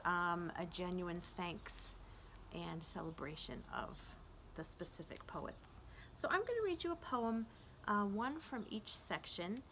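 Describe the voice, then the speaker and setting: A woman hosts a children's read-aloud in the manner of a librarian. Her pitch is medium and her pace is slow, with careful phrasing and pauses. She is recorded outdoors on a porch, with faint ambient noise behind her. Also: a bit bright. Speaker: children's librarian and story-time host. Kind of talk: children's poetry read-aloud with informal introduction.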